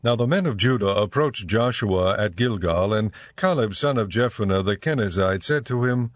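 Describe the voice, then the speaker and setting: A man reading scripted audio Bible narration in a measured, solemn manner. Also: lowish voice, animated, no echo. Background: music.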